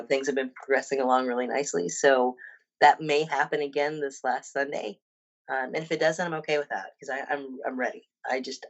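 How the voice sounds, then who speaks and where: A woman's voice: a bass voice, dull audio. A woman in her fifties, a personal trainer and bodybuilder, talking casually as a podcast guest with many ums and restarts. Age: 50s.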